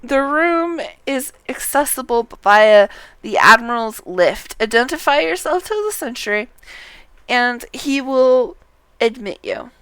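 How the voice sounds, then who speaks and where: A woman reads out a polite, chatty quest-giver from a fantasy game. The voice is clean and smooth, with slow, careful pacing. The phrasing is formal and a little fussy. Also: high voice, lively intonation, fairly bright tone, dry recording, exaggerated voice. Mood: brisk, instructive. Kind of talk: reading game dialogue aloud.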